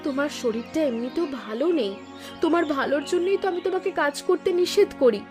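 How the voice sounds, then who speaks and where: A devoted young village daughter in a cartoon folk tale. Her voice is very high, emotive and insistent, with clear music underneath. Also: lively intonation, quick pace. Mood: scolding, caring. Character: devoted, stubborn young village daughter who sells chillies. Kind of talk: animated Bengali folk tale voice acting. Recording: music behind the voice.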